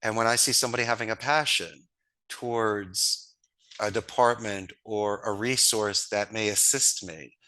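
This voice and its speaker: Upbeat bright-voiced man. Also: on the low side, a little slow. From Northeast Philadelphia, USA. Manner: enthusiastic, storytelling, folksy sayings. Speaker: guest, call center founder. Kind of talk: casual interview talk.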